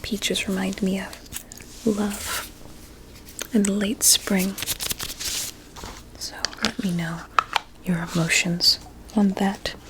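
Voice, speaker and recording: A woman playing a sweetly coaxing, sinister doctor in an ASMR roleplay, with a deliberate, soothing and formal manner. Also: mid-range voice, steady tone, noisy background. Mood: soft, coaxing. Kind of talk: whispered ASMR medical roleplay.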